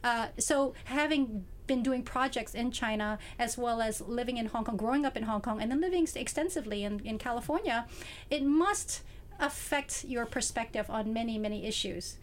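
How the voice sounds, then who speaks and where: A woman's voice: on the high side, normal speed, slight background noise. A woman interviewing guests on a radio show, asking long, thoughtful questions with many fillers.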